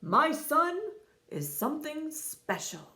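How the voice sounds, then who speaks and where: A woman voicing a loud, proud, protective father dragon in a storybook reading. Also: high-pitched, sing-song, takes it slowly, pitched above the performer's own voice, full character voice. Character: blustering, protective father dragon, adult male.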